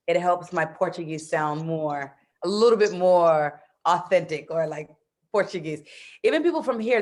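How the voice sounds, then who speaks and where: Woman from the US, thirties, low-pitched voice, lively casual chat, frequent laughs. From United States. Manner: chatty and animated, laughs often, many fillers. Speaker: interview guest, expat in Brazil.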